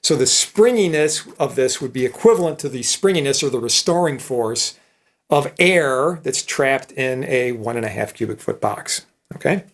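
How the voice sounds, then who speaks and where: A man's voice: mid-range voice, expressive, normal speed. A man giving an informal technical lecture in a conversational, explanatory manner, often checking understanding with short tag questions. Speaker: electronics professor.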